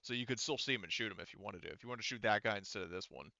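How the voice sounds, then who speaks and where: A man with a bright, smooth voice works as a game master, talking players through a tabletop game. He explains rules casually and thinks aloud, in a close, dry recording that sounds slightly dull. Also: fairly low pitch, rapid-fire, no room sound.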